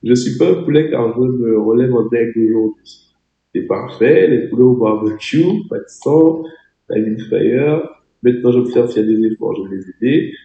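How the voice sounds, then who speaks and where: A man's voice: mid-range voice, moderate pace, vocal fry, warm tone, roomy sound, muffled recording. A man with a cold and a French accent, giving an emphatic webinar training over a video call, exhorting his audience directly. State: has a cold, voice a bit off. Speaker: network marketing trainer.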